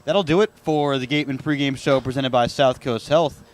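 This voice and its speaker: A man's voice: medium pitch, a little fast. A man hosting a sports radio broadcast, doing pregame talk and play-by-play commentary.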